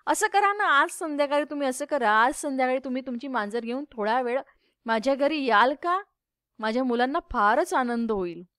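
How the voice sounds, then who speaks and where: A woman's voice: high voice, animated, fairly quick, fairly bright tone, clean tone, no room sound, studio quality. Woman reading a pushy cat-obsessed landowner. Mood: coaxing. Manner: self-absorbed, insistent, ignores the other speaker.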